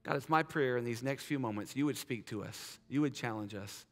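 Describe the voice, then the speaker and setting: A man in his thirties, a pastor, earnestly urging his congregation and then praying softly, recorded with a lapel mic in a large church sanctuary. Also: mid-range voice, brisk. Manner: earnest, urging, drops soft in prayer. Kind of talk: sermon invitation and prayer. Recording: church hall reverb.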